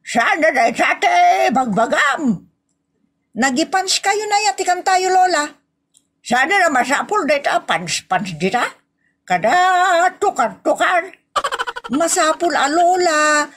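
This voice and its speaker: A woman's voice: extremely high pitch, lively intonation, slow pace, fairly bright tone, full character voice. A woman acting a brash, argumentative young woman who loves karaoke, in an Ilocano comedy audio drama, with a whiny, exclaiming delivery. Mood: argumentative, chatty.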